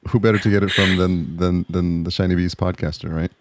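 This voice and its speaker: A man hosting a podcast interview, friendly and halting, often restarting his sentences. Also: low-pitched, fairly even intonation, a little hiss or hum. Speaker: podcast host. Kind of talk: casual interview chat.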